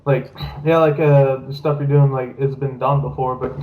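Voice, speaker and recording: A man's voice: medium pitch, fairly even intonation, moderate pace. Rambling young man, dull lo-fi mic. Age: 20s. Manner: rambling, filler-heavy. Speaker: movie review host. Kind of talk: casual group video chat.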